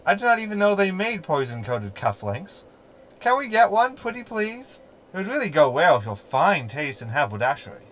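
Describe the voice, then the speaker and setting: Snarky hologram sidekick , male actor, sci-fi audio comedy. Verbose, glib, sardonic; higher-set voice with lots of swing. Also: big pitch swings, moderate pace, a bit bright. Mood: teasing. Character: snarky, meddling male hologram ship companion. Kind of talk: sci-fi sitcom audio drama acting.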